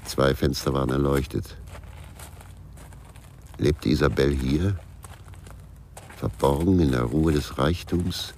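An actor playing a brooding, weary male ex-policeman in a radio crime drama, gravelly, sombre and measured. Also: extremely low pitch, fairly even intonation, a little mellow, slight background noise, heavily acted. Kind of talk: radio crime drama, introspective narration and dialogue.

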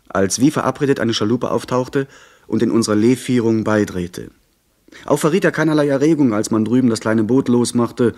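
An actor playing an earnest, polite young gentleman adventurer in a 1970s German radio drama. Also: fairly low pitch, natural voice.

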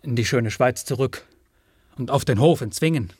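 An actor playing a rootless, humble and anxious peddler in a German radio play, with a halting, rambling way of talking. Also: male voice, medium pitch, darkish tone, husky, no echo, studio quality, close to an everyday voice. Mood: plaintive, rambling. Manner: halting, rambling, says "one" instead of "I".

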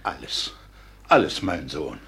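An actor playing a frail, honourable old father in a classical radio play, solemn and full of pathos, with a husky, breathy voice. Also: a bass voice, lively intonation, slow, fairly bright tone, a little hiss or hum, heavily acted. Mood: anxious. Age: older.

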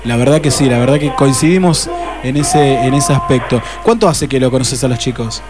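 A man's voice: medium pitch, normal speed. A man with an Argentine accent hosting a casual live radio interview, chatty and teasing, speaking into a studio microphone. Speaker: radio host. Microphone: shared studio microphone. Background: clear music.

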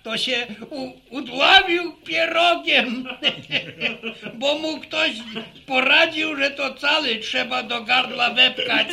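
A man's voice: very high-pitched, takes it slowly, vocal fry, sharp tone, exaggerated voice. An actor playing a jovial old village grandfather in a radio drama, chuckling and rambling through sayings and tales. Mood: folksy, amused. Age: older. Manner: chuckling, folksy, rambling storyteller. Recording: roomy echo.

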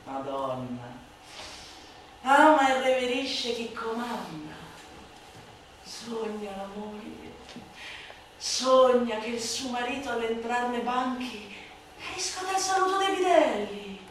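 A woman giving a theatrical, mocking, declamatory recitation of a satirical text, swinging between hushed slow phrases and loud sing-song. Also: fairly high pitch, lively intonation. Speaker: stage performer reciting a literary monologue. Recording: very echoey room, distant mic, light noise.

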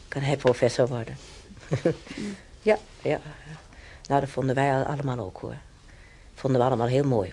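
A man's voice: medium pitch, vocal fry, a little mellow, husky. An actor in a radio play voicing a chatty, stubborn old German-born man who rambles through his memories with hesitations. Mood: amused reminiscing. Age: older. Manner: rambling, reminiscing, with hesitations and tag questions. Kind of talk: conversational radio play acting.

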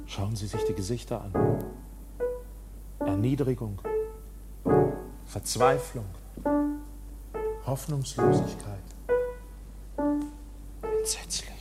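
An actor in a German radio play playing an anxious, polite but insistent accused man, questioning and moving between hushed and outraged. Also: mid-range voice. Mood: appalled.

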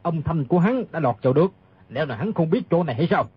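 Brash, fearless, mocking martial hero for radio drama; very fast, rough husky tone. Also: male voice, sits high, sing-song, no room sound, highs cut off, full character voice. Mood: argumentative. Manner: mocking, argumentative. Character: brash argumentative swordsman, sarcastic and fearless. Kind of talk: dramatised audiobook reading.

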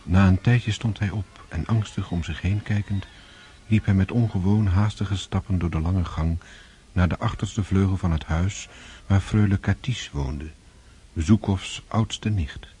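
A man's voice: low voice, unhurried, warm tone, husky. A man narrating a radio drama in a scripted, literary storytelling style.

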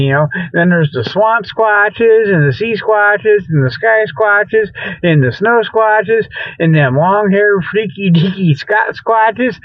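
A man with a notably high voice rambles through a comic radio monologue. His talk is folksy and loose, as a host amused by his own jokes. The sound is very dry and a little muffled, like lo-fi radio. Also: dry recording. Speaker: comedy radio show host. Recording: dull lo-fi radio audio.

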